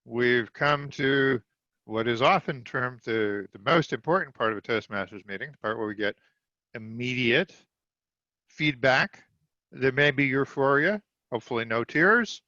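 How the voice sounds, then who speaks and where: A man chairing a meeting over a video call, brisk and upbeat as he moves things along. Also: medium pitch, pushed and bright, no room sound. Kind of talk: meeting facilitation. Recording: dull, dry video call audio.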